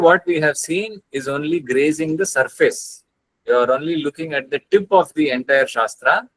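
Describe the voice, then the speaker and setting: A man's voice: on the high side, fairly bright tone, no room sound, rough recording. A young man with a South Indian accent giving an online lecture over a video call, explaining ideas earnestly and reciting Sanskrit verses. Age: young adult. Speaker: guest lecturer. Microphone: webcam on video call.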